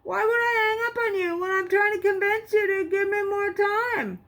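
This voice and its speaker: A woman's voice: very high-pitched, moderate pace, fairly bright tone, pitched above the performer's own voice. A woman voicing a frightened, groveling boy in a storybook, stammering and pleading as he bluffs. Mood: loud, pleading.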